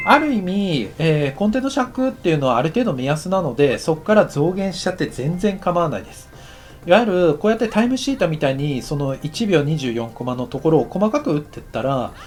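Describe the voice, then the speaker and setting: Man teaching casually, lively swinging intonation. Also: on the high side. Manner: conversational, counts aloud while working. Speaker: animation director teaching. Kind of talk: casual instructional lesson.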